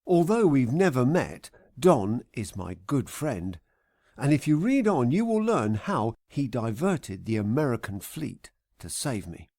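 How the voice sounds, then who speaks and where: A man's voice: medium pitch, very animated, measured pace, mellow, no room sound, clean studio sound. A man narrating his own comic audiobook in a theatrical, mock-poetic, wry way. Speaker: author reading his own comedy book.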